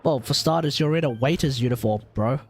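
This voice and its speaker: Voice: mid-range voice, a little fast, husky. A game streamer giving casual live playthrough commentary in a slangy, irreverent way. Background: game music.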